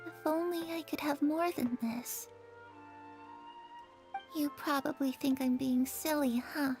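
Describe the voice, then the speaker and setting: A young spirit girl from a video game, with a very high, childlike pitch. She speaks slowly and earnestly, in a dreamy, wistful way edged with bitterness. Music plays behind her. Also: fairly even intonation, darkish tone. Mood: resigned. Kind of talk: scripted video game voice acting. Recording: game audio with background music.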